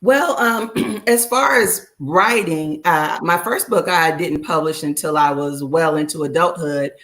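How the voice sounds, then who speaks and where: A middle-aged woman, a children's book author, talking casually as a guest, reflective and relaxed with many fillers. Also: low voice, measured pace. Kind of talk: casual conversation.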